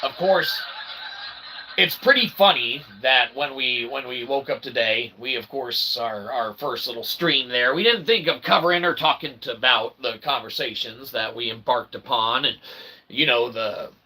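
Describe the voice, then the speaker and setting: A man's voice: medium pitch, normal speed, sharp tone, breathy edge, poor audio. A man hosting a comedy livestream in a wordy, theatrical, mock-pompous monologue. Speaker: host of a philosophy comedy livestream.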